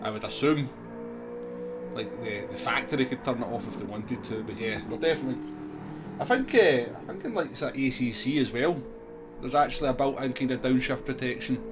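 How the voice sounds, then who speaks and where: Male game streamer, mid-pitched, casual and chatty, music clearly behind him. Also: brisk. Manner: chatty, replying to viewers by name. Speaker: livestream host. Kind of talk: casual gaming stream chat.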